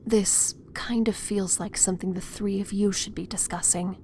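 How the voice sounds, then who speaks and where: A woman acting the inquisitive young sister of a vigilante hero in an audio drama, with a hushed, questioning delivery. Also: mid-range voice, steady tone, normal speed, slight background noise, natural voice. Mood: doubtful. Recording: faint music under the voice.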